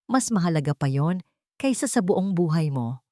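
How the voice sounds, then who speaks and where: A woman voicing a cruel, haughty rich woman with a shrill, accusing delivery in a dramatic audio story. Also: very animated, moderate pace, dark tone, dry recording, studio quality. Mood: scornful. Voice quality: shrill, piercing. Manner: imperious, scornful, accusing.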